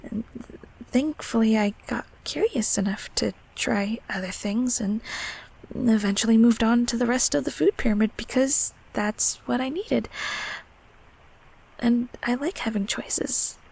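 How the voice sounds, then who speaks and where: A woman plays a nurturing, bashful monster from a childhood closet, in an intimate audio roleplay. The voice is soft and fairly high, with a very breathy, near-whispered texture and a crackle of fry. The delivery is hesitant and tender, soothing someone they once watched over. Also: measured pace, exaggerated voice. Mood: tender, accepting. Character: gentle, shy, nurturing childhood closet creature, comforting a grown child.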